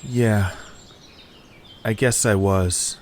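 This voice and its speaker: A man playing a weary young male hero, speaking in a low-key, sincere way in an amateur audio drama. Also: extremely slow, a little hiss or hum. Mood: subdued.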